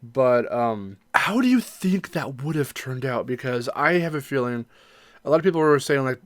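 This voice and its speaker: A man's voice: mid-range voice, lively intonation, normal speed, dry recording. Man chatting on a podcast, dry close sound. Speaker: podcast co-host. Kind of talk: casual podcast discussion.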